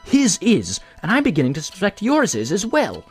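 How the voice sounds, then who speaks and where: A man's voice: high-pitched, huge pitch swings, normal speed, breathy edge, faint music behind, full character voice. A man playing an indignant, insistent stallion royal guard in a dramatic audio drama, feigning loyalty while scheming.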